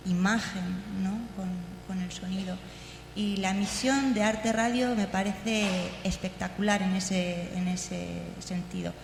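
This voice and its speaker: A woman giving an informal conference presentation, hesitant and searching for words. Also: medium pitch. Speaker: conference speaker. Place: large conference room, indoors. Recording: very echoey hall, faint noise.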